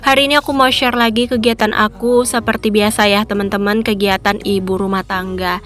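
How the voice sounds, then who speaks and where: A woman's voice: medium pitch, flat delivery, brisk, fairly bright tone. A woman with a stuffy nose from a cold, chatting casually in a cooking vlog. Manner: chatty, many fillers. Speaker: vlogger, housewife.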